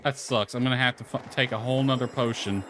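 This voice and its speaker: A man's voice: mid-range voice, steady tone, fast talker, a bit bright, a little hiss or hum. A man giving casual, grumbling gameplay commentary, muttering to himself as he plays. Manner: grumbling, mild swearing. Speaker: gamer streaming or recording gameplay. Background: background music.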